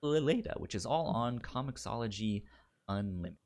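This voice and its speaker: A man's voice: medium pitch, animated, a little fast, darkish tone. A man chatting casually as a podcast host giving recommendations, stuttering and repeating syllables and words as he goes. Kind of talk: casual film chat.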